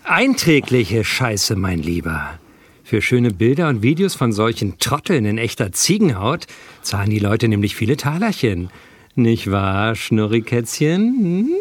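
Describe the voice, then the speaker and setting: Man acting a menacing crook with wildly swinging pitch. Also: very animated, slightly rough, no room sound, heavily acted. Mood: smug, gloating. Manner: sneering, drawn-out threats and cutesy pet names. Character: menacing, jealous male crook with a carpet knife. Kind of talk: comic radio play acting.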